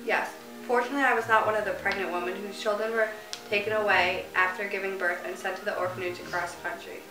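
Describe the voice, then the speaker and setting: A woman playing a grieving former labor camp prisoner in a mock news interview, giving measured, scripted answers. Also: medium pitch, fairly even intonation, natural voice. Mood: solemn. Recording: echoey, distant mic under loud music.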